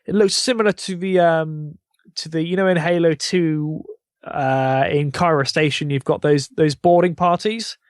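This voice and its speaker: British male podcast co-host, informal chat, fairly high-pitched, no room echo. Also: steady tone, measured pace, studio quality. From United Kingdom. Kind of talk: casual chat.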